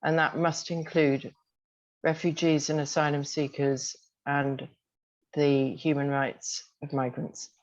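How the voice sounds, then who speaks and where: A woman's voice: sits low, level tone, takes it slowly, dry recording, muffled recording. A woman closing an advocacy presentation as a guest speaker.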